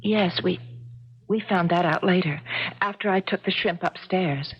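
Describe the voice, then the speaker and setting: A woman's voice: lowish voice, unhurried, husky. An actress playing an anxious, tender woman traveler newly in love, in the style of 1970s radio drama. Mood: apologetic. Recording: dull 1970s radio broadcast recording.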